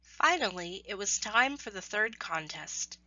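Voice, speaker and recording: A woman reads a children's story aloud in scripted narration, with expressive, deliberate phrasing. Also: medium pitch, dry recording, muffled recording.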